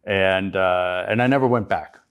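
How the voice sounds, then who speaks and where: A middle-aged American man from Chicago, a guest telling his story in a relaxed interview, with many restarts. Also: low-pitched, normal speed, clean tone. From United States, Chicago. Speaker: guest entrepreneur. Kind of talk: conversational interview.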